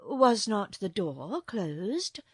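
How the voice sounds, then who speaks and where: A woman voicing a dignified young princess in a storybook, gentle but indignant, with a formal, measured manner. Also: mid-range voice, very animated, breathy edge, dry recording, studio quality, heavily acted. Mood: questioning. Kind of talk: storybook character voice.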